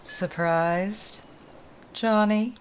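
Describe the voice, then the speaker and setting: A woman plays a menacing 1950s radio villainess. She takes her words very slowly, coolly taunting and deliberate. The recording is noisy. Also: medium pitch. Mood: cool menace. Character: cool, menacing female villain holding a gun. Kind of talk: 1950s radio drama acting.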